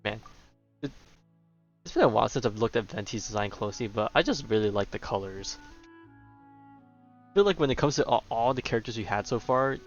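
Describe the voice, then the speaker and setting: A man's voice: fairly low pitch, lively intonation, brisk, pauses often. A man giving casual, offhand commentary as he streams a game, with wry reactions. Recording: dull, muffled lo-fi microphone. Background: game music.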